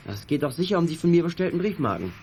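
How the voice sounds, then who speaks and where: A man's voice: on the high side, sing-song, racing, a little mellow, a little hiss or hum. An actor playing a keen, confident boy detective who leads his friends in a children's radio play. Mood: thoughtful, confident. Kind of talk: 1980s German children's radio play acting.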